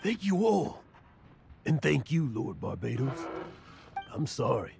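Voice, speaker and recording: An actor playing an earnest, emotionally fragile, humble man in a video game, speaking haltingly. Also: mid-range voice, sing-song, gravelly, music in the background, heavily acted. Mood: overwhelmed, grateful. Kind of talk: video game cutscene acting.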